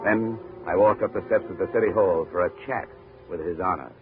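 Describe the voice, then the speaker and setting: A man's voice: sits low, a little fast, soft background music, lightly acted. A man playing a tough, sardonic insurance investigator in a 1950 radio detective drama, with a clipped, wisecracking delivery. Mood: hard-boiled narrating. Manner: wisecracking, hard-boiled, clipped. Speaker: lead actor and narrator. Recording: tinny old 1950 radio recording.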